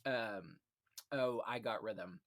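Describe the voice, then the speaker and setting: A man's voice: fairly low pitch, takes it slowly. Man hosting a casual film podcast. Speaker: podcast host.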